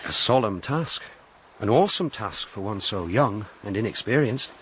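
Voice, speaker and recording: An actor playing a grave, gentle Victorian clergyman in a radio drama, formal, deliberate and reserved as he courts. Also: on the low side, expressive, slight background noise, close to an everyday voice, rough recording. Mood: gravely sceptical. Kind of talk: period radio drama acting.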